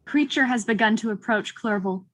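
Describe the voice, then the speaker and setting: Female narrator, fairly high pitch, scripted stage-direction reading. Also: normal speed.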